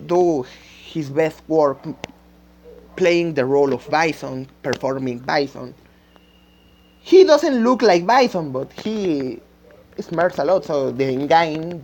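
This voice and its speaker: A woman who is a native Spanish speaker, talking off the cuff with a Spanish-speaking accent, haltingly searching for words and repeating phrases. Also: low voice, darkish tone. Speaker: amateur game creator. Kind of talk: unscripted rambling vlog talk. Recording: dull lo-fi microphone sound.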